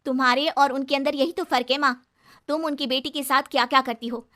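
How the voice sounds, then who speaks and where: A woman playing an outspoken young married daughter, reproachful and righteous, in an animated moral story. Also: high-pitched, brisk. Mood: indignant. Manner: confronting, reproachful.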